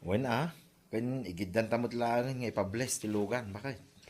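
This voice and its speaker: A man's voice: mid-range voice, normal speed, darkish tone, lightly acted. A man with an Ilocano accent playing an easygoing, boastful husband in a comedy drama.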